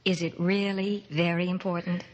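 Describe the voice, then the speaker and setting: A woman playing a sympathetic office secretary with a gentle, coaxing, courteous manner in an old-time radio drama. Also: medium pitch, level tone, normal speed, dull audio, close to an everyday voice. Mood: helpful, gentle. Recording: reverberant old radio recording.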